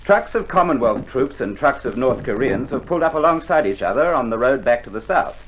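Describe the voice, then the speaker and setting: An actor playing a laconic, dryly stern Australian sergeant major with gruff understatement, in a 1960s radio drama. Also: male voice, mid-range voice, animated, fairly quick, lightly acted. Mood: grim. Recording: muffled 1960s vinyl, faint noise.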